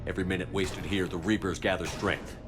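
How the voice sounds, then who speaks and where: A man's voice: low voice, fairly even intonation, fairly quick, music in the background, close to an everyday voice. A man playing a steadfast, sober military commander in a video game cutscene. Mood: serious, focused. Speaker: squad commander.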